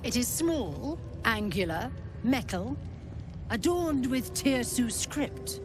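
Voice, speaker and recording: A woman's voice: a little high, very animated, slow pace, background noise. An actress playing a cold, proud female githyanki creche commander who speaks in a clipped, formal, commanding way. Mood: stern. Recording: game audio with music behind.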